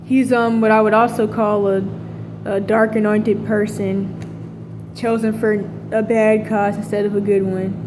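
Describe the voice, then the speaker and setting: A woman's voice: mid-range voice, flat delivery, unhurried, background noise. A woman talking in a casual, rambling monologue, full of fillers and restarts. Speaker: vlogger.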